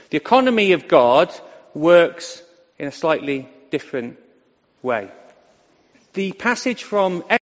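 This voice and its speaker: A middle-aged vicar who stammers on some words, preaching a sermon from the front of a church. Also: male voice, a little high. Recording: echoey hall, dull lo-fi sound.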